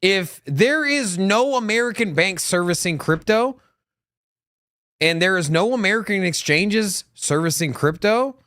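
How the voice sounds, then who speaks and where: A man's voice: sits high, unhurried, no echo, clean studio sound. A man co-hosting a crypto news live stream. He talks casually, with lots of restarts and rambling asides. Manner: animated, rambling, many restarts. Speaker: co-host of a crypto news show.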